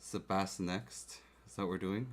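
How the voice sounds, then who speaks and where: A man's voice: low voice, fairly even intonation, moderate pace. A man livestreaming as he builds a keyboard, chatting casually and checking in with his viewers over a desk mic. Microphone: desk mic close to the keyboard. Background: faint keyboard clicks and typing.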